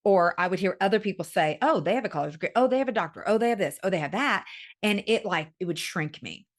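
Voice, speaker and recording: A woman's voice: medium pitch, expressive, quick pace. A middle-aged woman hosting a podcast interview, chatting candidly and with self-deprecating humor. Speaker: podcast host. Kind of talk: conversational interview.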